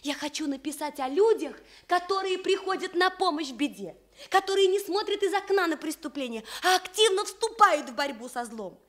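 A woman's voice: extremely high pitch, lively intonation, moderate pace. An actress playing a principled, impassioned woman journalist, earnest and emphatic in the style of Soviet radio theatre. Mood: resolute appeal.

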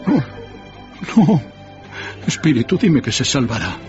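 Radio drama old miser: slow, anguished, pleading, very expressive, dull sound over music. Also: male voice, medium pitch. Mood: desperate, pleading. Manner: anguished, imploring. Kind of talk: Spanish radio drama acting.

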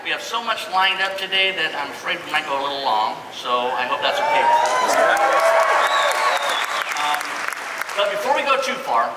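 Male stage host, middle-aged, prepared talk, reverberant venue with crowd noise. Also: fairly high pitch. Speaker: presenter, company executive. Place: large theatre stage. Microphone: microphone through hall PA. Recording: echoey hall from far off, crowd, noise.

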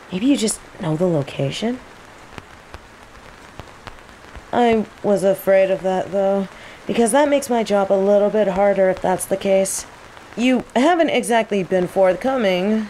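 A woman's voice: mid-range voice, natural voice, low-quality recording. A woman playing a teasing rival mage who interrogates a captive with casual, coaxing menace in an audio roleplay. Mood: casual, searching. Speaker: voice actor in scripted audio roleplay. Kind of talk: intimate scripted audio roleplay acting. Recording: steady rain noise behind the voice. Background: rain.

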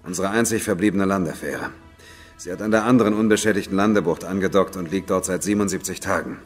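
An actor in an audio drama playing a tough, commanding spaceship officer under siege. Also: male voice, sits low, quick pace, soft background music. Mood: steady, commanding.

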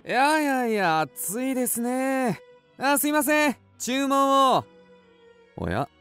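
A quirky, clever young amateur sleuth in a radio drama. He is affable and unhurried, his pitch swinging freely over faint music. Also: very animated, exaggerated voice.

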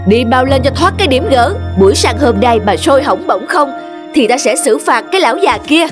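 A woman voicing an imperious, haughty king in an animated folk tale, pompous and commanding. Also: high-pitched, brisk. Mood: irritated, commanding. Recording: music under the voice.

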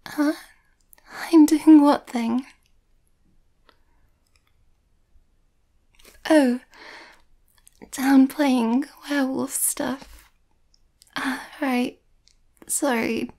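A woman playing a shy, sweet young werewolf girlfriend who overthinks, speaking softly and hesitantly in an ASMR roleplay, often close to a whisper. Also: high-pitched, fairly even intonation, extremely slow, long pauses, heavily acted. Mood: flustered, apologetic. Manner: hesitant, breathy, often near whisper, nervous.

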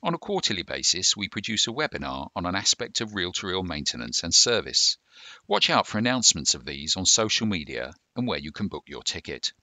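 A man presenting a scripted instructional narration in a measured, formal, explanatory manner. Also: low voice, very animated, bright, forceful tone, dry recording.

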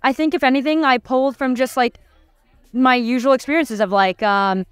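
A woman's voice: high-pitched, moderate pace, clear, resonant tone, no echo. Thoughtful young woman on a red carpet. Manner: thoughtful, many fillers and restarts. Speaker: actor interviewed on a red carpet. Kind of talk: casual red-carpet interview. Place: busy premiere red carpet, indoors. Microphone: handheld mic held by interviewer.

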